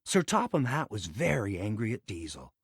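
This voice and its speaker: A man reading a children's read-along story as a scripted, dramatic and expressive narration. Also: lowish voice, normal speed, husky, no echo, studio quality.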